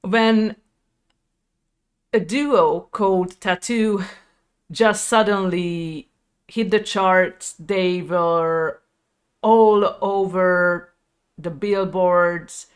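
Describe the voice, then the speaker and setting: A woman in her thirties with a Hungarian accent, telling a personal story to her viewers in a reflective, halting, candid way. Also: on the low side, steady tone, clean tone. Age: 30s. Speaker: video creator.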